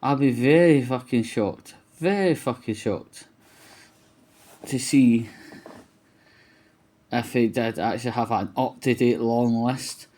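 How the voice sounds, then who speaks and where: A man vlogs in a casual, opinionated way at a slow pace. His voice sits at a middling pitch, and he rambles in loose, colloquial phrases with the odd swear word. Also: mellow. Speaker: vlogger. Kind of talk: casual opinionated monologue to camera.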